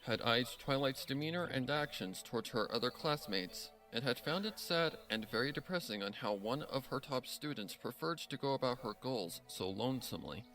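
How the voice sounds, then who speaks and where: A man reads a story as its narrator, with a bright, forceful voice. His pitch is average but moves around a lot in a dramatic scripted delivery, over faint music. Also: expressive, moderate pace, hardly any pauses, poor audio.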